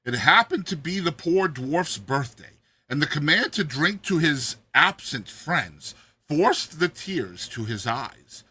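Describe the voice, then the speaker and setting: A man's voice: on the high side, big pitch swings, slow pace, pushed and bright, slightly rough. A man narrating a classic tale as a dramatized reading, theatrical and emphatic.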